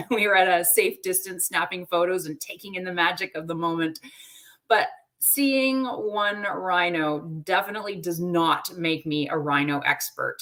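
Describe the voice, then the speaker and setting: A woman's voice: fairly low pitch, a bit bright. A woman hosting a live wildlife webinar, friendly and upbeat, talking conversationally over a video call. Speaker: host.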